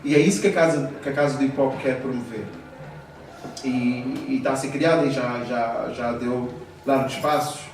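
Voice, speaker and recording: A man's voice: medium pitch, moderate pace. A man, speaking at a live talk about the music industry in an earnest, hesitant way, often restarting his phrases. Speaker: interviewee, hip-hop artist. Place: café hall, live event. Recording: roomy echo, distant mic, faint noise.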